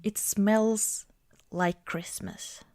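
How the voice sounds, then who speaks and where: Slow, soft-spoken ASMR woman. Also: medium pitch, fairly even intonation, no room sound. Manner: gentle, unhurried, often dropping into whispers. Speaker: ASMR roleplay performer. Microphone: close microphone, dry sound.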